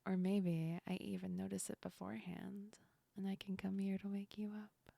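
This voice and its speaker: Breathy, whispering comforting demon played by a woman. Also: mid-range voice, little pitch movement, warm tone, dry recording. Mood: warm, lightly playful. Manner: slow, soothing, reassuring, lightly amused. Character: gentle, comforting female sleep demon, affectionate and teasing. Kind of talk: ASMR roleplay, whispered and intimate. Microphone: close ASMR microphone.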